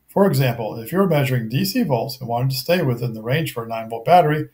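A middle-aged man narrating a scripted technical product review in a measured, methodical, matter-of-fact way. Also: medium pitch, darkish tone.

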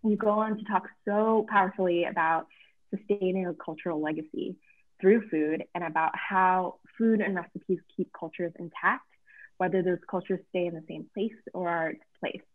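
A woman moderating a virtual author panel, speaking in an effusive, measured way over a video call. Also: fairly low pitch, steady tone. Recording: tinny phone-like video call audio.

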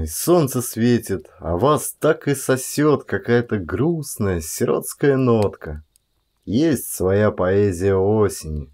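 A man narrating a literary short story as a dramatized audiobook, in a measured, expressive way. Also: on the low side.

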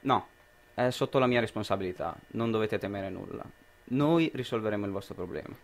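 A young man plays a regime's expert in a radio drama, brusque and officious. He has a lowish voice and speaks very quickly in formal, clipped phrases. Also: lightly acted. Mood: officious, reassuring. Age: young adult. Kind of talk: amateur radio drama acting.

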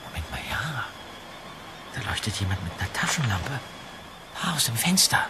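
An actor playing a skeptical, observant young detective in a German audio drama. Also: male voice, lowish voice, sing-song, moderate pace, noisy background. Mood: hushed.

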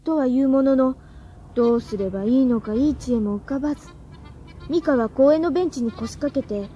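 A woman playing a plucky, cheeky high-school girl with worries, in a Japanese radio drama. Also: a little fast, dark tone, highs cut off, soft background music, natural voice. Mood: wry narrating.

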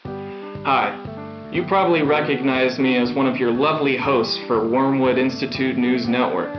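A polished, agreeable teenage boy anchoring a school newscast, acted by a young man. His pitch is average and the sound is muffled like old nineties videotape. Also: fairly even intonation, moderate pace, music in the background, close to an everyday voice. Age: young adult. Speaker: news anchor. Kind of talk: scripted school news presenting, acted. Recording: dull lo-fi 1990s VHS sound.

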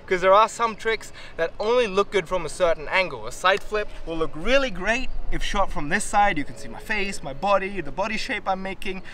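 A man's voice: high voice, animated, a little fast, hardly any pauses, bright, forceful tone, a little hiss or hum. A man in his twenties talking casually to camera on an outdoor rooftop, chatty and upbeat, laughing often as he explains his filming. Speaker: vlogger, parkour filmmaker. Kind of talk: casual vlog talk to camera.

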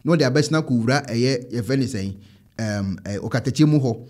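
A man in his thirties with a Ghanaian accent, giving animated commentary as an online show host into a close desk microphone. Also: mid-range voice, normal speed. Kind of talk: animated commentary on trending videos. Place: indoor home studio.

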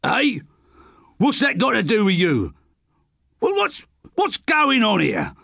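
A man voicing a gruff, thick-set middle-aged businessman, blustering and threatening. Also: sits high, wildly swooping pitch, slow pace, sharp tone, no room sound, studio quality, pitched above the performer's own voice, exaggerated voice. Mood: angry.

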